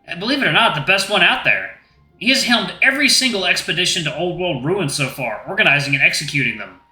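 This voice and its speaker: A man's voice: high voice, lively intonation, quick pace, pushed and bright, voice pushed up, exaggerated voice. A man voicing a young male student who explains things in a matter-of-fact way. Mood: dry. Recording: echoey room, faint music.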